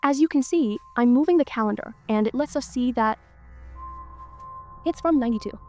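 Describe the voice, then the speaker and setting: A woman's voice: on the high side, normal speed, darkish tone, faint music behind. A woman with a stuffy nose from a cold, narrating her walk through an abandoned house in a chatty way and often exclaiming in amazement. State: congested from a cold. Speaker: urban explorer vlogger. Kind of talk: casual guided tour narration.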